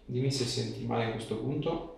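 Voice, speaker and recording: A man in his thirties with a creaky, gravelly voice works as an osteopath, giving short instructions to a patient. He is recorded at some distance in a very echoey treatment room, with some background noise. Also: mid-range voice, brisk, darkish tone. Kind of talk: clinical instructions and casual chat with patient. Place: small indoor clinic treatment room. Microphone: camera a few steps away.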